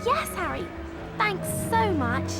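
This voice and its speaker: A woman's voice: very high-pitched, very animated, slow, exaggerated voice. A woman playing an admiring, loyal young witch girl in a video game. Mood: eager. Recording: music loud behind the voice.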